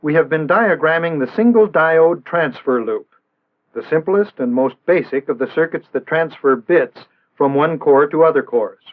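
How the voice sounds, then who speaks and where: An American man narrating a 1960s instructional film in a measured, didactic way with precise enunciation. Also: on the high side, expressive. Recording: band-limited, tinny vintage film soundtrack.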